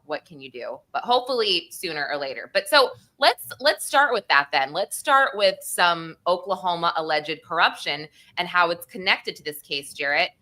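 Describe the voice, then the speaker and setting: A woman's voice: lowish voice, sharp tone. A woman from the Northeastern United States with an American accent, co-hosting a casual true crime discussion in a chatty, informal way. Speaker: co-host.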